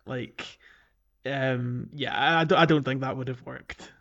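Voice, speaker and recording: A man's voice: medium pitch, fairly even intonation, a little slow, gravelly, fairly bright tone, lo-fi sound. Man chatting casually, feeling his way along. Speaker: podcast co-host. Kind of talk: casual gaming podcast chat.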